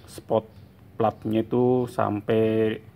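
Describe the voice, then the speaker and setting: Slow low-key guy in a workshop, gravelly flat voice, repeats himself, faint hiss behind. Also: phone-quality sound. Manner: slow, repeats points with a tag "ya". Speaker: product reviewer. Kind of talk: casual tool demonstration. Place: workshop bench, indoors.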